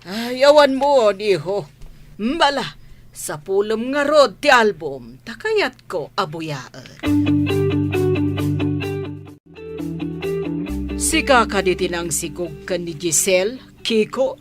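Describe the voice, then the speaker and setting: Stern older mistress, lively intonation. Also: female voice, medium pitch, takes it slowly, music in the background. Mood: nagging. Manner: scolding, interrogating. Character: stern, suspicious wealthy mistress of a mansion, older mother. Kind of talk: Ilocano radio drama acting.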